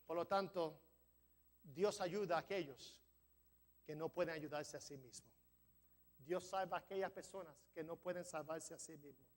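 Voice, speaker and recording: A high-pitched man delivering a church sermon. He is not a native speaker, sometimes trips over a word, and pushes his voice up toward emphatic peaks as he preaches. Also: normal speed, long pauses. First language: not Spanish. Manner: preaching with rising emphasis, some stumbles over words. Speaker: pastor. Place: church sanctuary.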